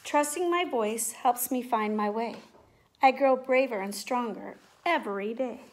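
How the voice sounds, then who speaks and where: A middle-aged woman teacher speaking in simple, clear phrases to young children, as in a read-aloud or classroom demonstration. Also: a little high, measured pace, a little hiss or hum. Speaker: teacher making videos for pupils. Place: indoors at home, living room and kitchen. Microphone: device mic at about arm's length.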